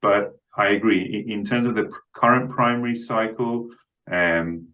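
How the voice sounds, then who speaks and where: A man speaking as a guest analyst in an unscripted interview, halting and often repeating the starts of words. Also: on the low side, sharp tone, low-quality recording. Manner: halting, stammers on word starts.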